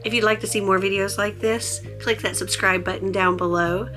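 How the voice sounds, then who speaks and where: A hoarse woman who has nearly lost her voice, chatting in a casual, gushing vlog aboard a cruise ship. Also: mid-range voice, fairly even intonation, moderate pace, fairly bright tone, faint music behind. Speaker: vlogger. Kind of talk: casual travel vlog chat.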